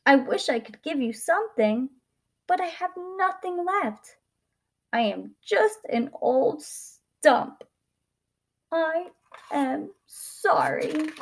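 Woman acting giving female tree, storybook character, high voice, very lively swooping pitch, coaxing, eager to please. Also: slow pace, exaggerated voice. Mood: sorrowful. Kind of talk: children's storybook voice.

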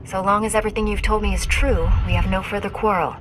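A woman acting as a resolute young Jedi knight in a video game. She sounds firm, formal and composed, at a medium pitch, with faint noise in the background. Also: fairly even intonation, fast talker, lightly acted. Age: young adult.

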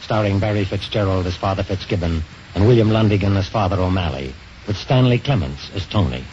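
A man's voice: sits low, a little fast. A man delivering scripted narration as an announcer in 1950s radio style. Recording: muffled 1950s radio recording, loud music. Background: loud music.

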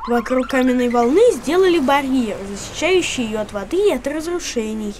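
A woman's voice: high-pitched, lively intonation, normal speed, a little mellow, slight background noise. A woman playing a patient, knowledgeable child who explains things in a children's educational cartoon. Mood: patient, explanatory.

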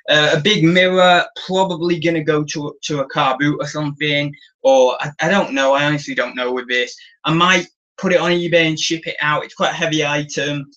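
A young man chats loosely on a live stream, showing off auction finds and reading the chat. He has a bright voice that sits a little high for a man, and he rambles and restarts with plenty of ums. The sound comes from a camera in a small room. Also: poor audio. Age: young adult. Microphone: camera on tripod.

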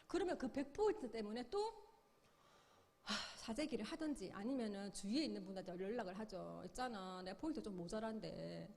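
A woman's voice: mid-range voice, animated, a little fast, gravelly, warm tone, long reverb tail. A middle-aged woman giving a sales seminar lecture, upbeat, explaining with questions and acted-out quotes. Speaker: business seminar speaker.

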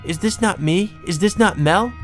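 Man doing a high-pitched, distraught young noblewoman. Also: normal speed, soft background music, natural voice. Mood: shocked. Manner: stammering, wailing, exclamatory. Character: distraught young noblewoman, jealous, tearful, prone to rage. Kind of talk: visual novel character read aloud by a player.

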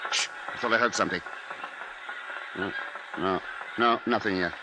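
An actor playing a grave, watchful husband besieged in his house, speaking in measured, dramatic phrases in a 1950s radio drama. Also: on the low side, over loud music, natural voice. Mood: anxious, restrained. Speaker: narrator and lead actor. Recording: noisy 1950s radio broadcast recording.

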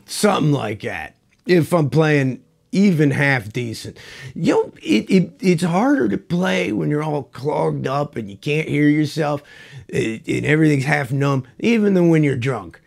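A man in his forties with a stuffed-up, congested voice, slightly high and gravelly with fry. He talks in a loose, folksy, rambling way, full of restarts, into a lapel mic in his home music room. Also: lively intonation, measured pace, a little mellow. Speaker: guitar reviewer. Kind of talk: casual product review chat.

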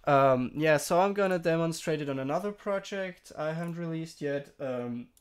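A young man who is a bit sick, walking through a software tutorial in a rambling, casual way with many fillers and restarts. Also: fairly high pitch, normal speed, clean tone. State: sick, throat-clearing voice. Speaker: tutorial streamer. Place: home room.

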